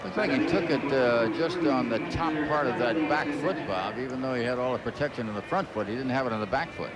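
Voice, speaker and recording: Loud ballpark noise surrounds a man calling a baseball game on a 1990s broadcast. His voice is medium-pitched, and he talks through the action in a folksy, conversational way. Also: fast talker. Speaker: baseball play-by-play announcer. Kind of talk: live radio play-by-play commentary. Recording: clearly noisy 1990s stadium broadcast. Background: loud ballpark ambience.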